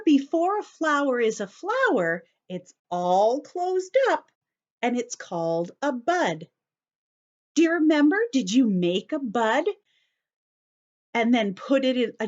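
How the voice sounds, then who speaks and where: A woman leads story time for little children at an unhurried pace, her voice swinging widely in pitch. She reads from a picture book and explains it simply, like a teacher checking that they follow. The sound is slightly dull and lo-fi. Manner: animated, teacherly, asking the listeners questions.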